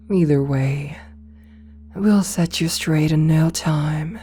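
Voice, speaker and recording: A woman playing a possessive, domineering rich CEO in an ASMR roleplay, speaking softly and coaxingly with condescending sweetness, slipping into whispers. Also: low voice, full character voice. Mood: menacing, seductive. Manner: slow, soft, breathy coaxing, slipping into whispers.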